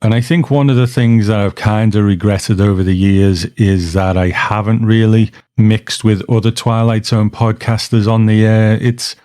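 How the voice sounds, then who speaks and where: A man's voice: on the low side, steady tone, normal speed, few pauses, warm tone, no room sound, studio quality. A man hosting a podcast, casually introducing a guest in conversational talk. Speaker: podcast host.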